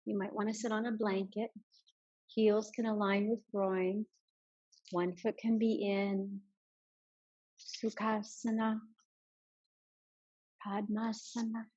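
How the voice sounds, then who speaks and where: A woman leading a guided meditation as a yoga teacher, giving soft instructions with many pauses. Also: mid-range voice, flat delivery, lo-fi sound.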